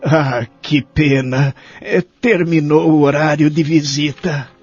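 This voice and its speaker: Man playing a higher-voiced, wistful elderly man. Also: fairly even intonation, slow pace. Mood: remorseful, wistful. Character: remorseful, lonely elderly man in a care home. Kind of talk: audio drama acting and first-person narration. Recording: dull lo-fi audio, faint music behind.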